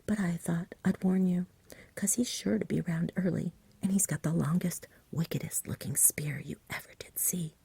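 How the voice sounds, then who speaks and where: A woman's voice: on the low side, steady tone, moderate pace, vocal fry, dark tone, husky, no room sound, heavily acted. A woman voicing an eager, cheeky, pleading village boy in a whispered ASMR storybook reading. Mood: cheeky, excited. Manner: urgent, cheeky, imploring.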